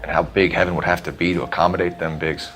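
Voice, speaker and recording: Deep-voiced, intense American detective. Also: male voice, level tone, fast, fairly bright tone. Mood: questioning, pensive. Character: intense, cerebral young male 1940s police detective. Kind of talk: video game acting.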